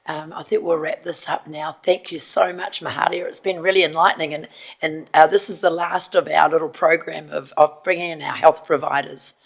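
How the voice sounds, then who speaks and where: A New woman chairing a council committee meeting, hoarse and procedural, with many ums and repeated words. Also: deep voice, steady tone, brisk.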